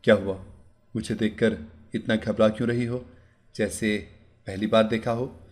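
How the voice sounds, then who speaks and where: A man voicing a calm young husband who speaks softly and patiently in an audio story. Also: lowish voice, unhurried, natural voice. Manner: soft, patient.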